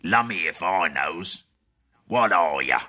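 A man voicing a huge, coarse male troll with a slow, gruff manner in an audiobook. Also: low-pitched, animated, pushed and bright, full character voice. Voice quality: gruff, slow, rough dialect. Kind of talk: audiobook character voice.

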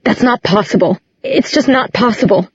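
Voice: mid-range voice, expressive, moderate pace, slightly rough, no room sound, dull audio, pitched above the performer's own voice. Woman reader as a distraught, indignant teenage girl. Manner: incredulous, emotional, emphatic.

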